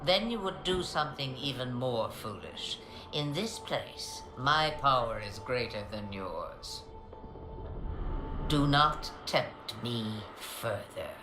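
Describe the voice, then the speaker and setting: A woman's voice: a bass voice, big pitch swings, slow pace, exaggerated voice. An older woman playing an ancient, commanding witch in a video game, theatrical, with grand and archaic phrasing. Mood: commanding, mocking. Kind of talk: dramatic video game acting. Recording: roomy reverb with music behind.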